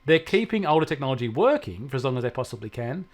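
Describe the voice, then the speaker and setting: A man's voice: medium pitch, sing-song, fast. An Australian man giving conversational technology advice as an expert, emphatic and repeating points to stress them. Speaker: technology expert answering questions.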